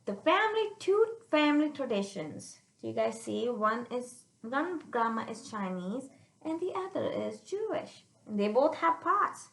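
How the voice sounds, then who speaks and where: A woman reading a picture book aloud to children, pausing to explain it simply and check they follow, in a roomy recording. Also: a little high, gravelly. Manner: animated, checks understanding with listeners.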